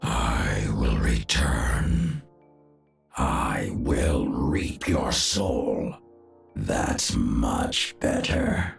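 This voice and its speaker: An extremely deep, growling reaper from a video game. He drags out his threats very slowly, menacing and grim. The voice echoes over music. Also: heavily acted. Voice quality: gravelly, growling. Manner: slow, menacing. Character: grim male reaper, menacing harvester of souls. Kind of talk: video game character voice lines. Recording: echoing, music underneath.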